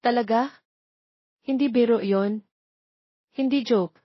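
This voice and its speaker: A woman voicing a sweet, affectionate young woman in an audio drama, with an expressive, girlish manner. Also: on the high side, takes it slowly, dry recording, highs cut off, pitched above the performer's own voice. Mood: excited.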